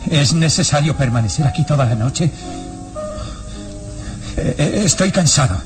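Casting: male actor as agitated, haggard man for radio drama; jumpy, pleading delivery with wide pitch swings, music behind. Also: expressive, moderate pace, close to an everyday voice. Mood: nervous pleading. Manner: jittery, hesitant, pleading.

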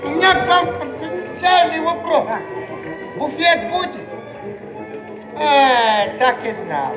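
Wheedling little functionary, extremely high voice, fussy, ingratiating, comic radio play, echoey, loud music behind. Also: male voice. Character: fussy, wheedling male petty official angling for comfort. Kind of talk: theatrical comic radio acting.

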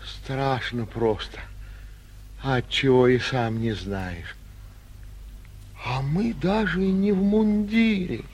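An actor playing a timid, uneasy provincial official in a theatrical 1940s radio play. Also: male voice, medium pitch, a little mellow, husky, heavily acted.

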